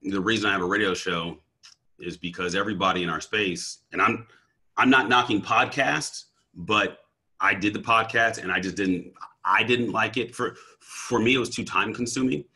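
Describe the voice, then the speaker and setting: A man talking casually and energetically in an interview about his work as a coach and speaker, with a deep voice and frequent restarts and stammers. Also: moderate pace, bright, forceful tone, slightly rough. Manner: slangy, says "bro".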